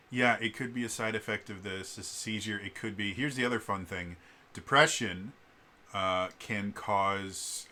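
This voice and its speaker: A man's voice: low voice, bright, forceful tone. A man talks casually as a vlogger and game master, explaining things in a digressive, storytelling way. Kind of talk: casual rambling storytelling vlog.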